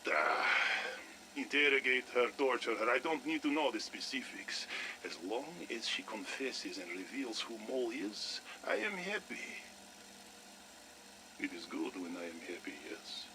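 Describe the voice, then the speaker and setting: An actor playing a callous military officer in a video game, with a blunt, clipped, sinister delivery. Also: male voice, mid-range voice, sing-song, unhurried, background noise. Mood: sinister cheer. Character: callous male military officer, bluntly cheerful about cruelty. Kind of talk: video game audio drama acting.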